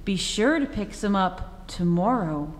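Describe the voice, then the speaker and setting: A woman's voice: medium pitch, animated, measured pace, lightly acted. A woman playing a chipper old-time radio advertising announcer, perky and selling, recorded on a reverberant stage.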